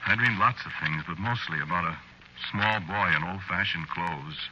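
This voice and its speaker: An actor playing a meek, guilt-ridden husband confessing his crimes in a halting, brooding way, in 1940s radio drama style. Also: low voice, bright, forceful tone. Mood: troubled confession. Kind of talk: 1940s radio drama acting, first-person narration. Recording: muffled, hissy old radio recording.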